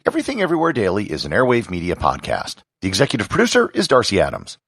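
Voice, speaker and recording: Gravelly American man narrating with swooping pitch. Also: wildly swooping pitch, very fast, over music. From Wisconsin, United States. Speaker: podcast host and narrator. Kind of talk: scripted educational narration.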